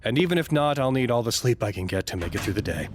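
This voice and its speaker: A man's voice: medium pitch, big pitch swings, fast, background noise, lightly acted. Pompous, swooping, fussy male doctor played by an actor. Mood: martyred, scheming. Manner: pompous, theatrical, exclamatory. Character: fussy, self-important, easily wounded male doctor of office order. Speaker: actor in audio drama podcast. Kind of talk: dramatic monologue, audio log.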